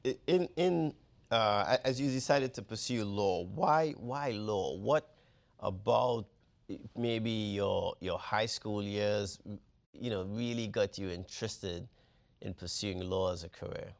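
A man's voice: on the low side, measured pace, gravelly. A man hosting an interview podcast, asking questions with many fillers and restarts. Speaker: university president, host.

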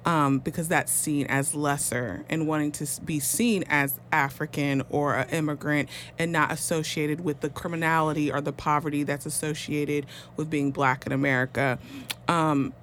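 A man, a councilman, giving an earnest, measured answer on a panel. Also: a little high, fairly bright tone, a little hiss or hum. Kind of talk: panel discussion.

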